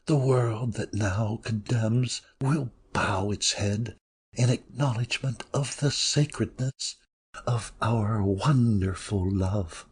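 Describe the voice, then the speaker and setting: A man playing a passionate, defiant actress in a dramatic audiobook reading, with slow, melodramatic, drawn-out emphasis. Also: mid-range voice, breathy edge. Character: passionate, defiant stage actress, devoted to her lover.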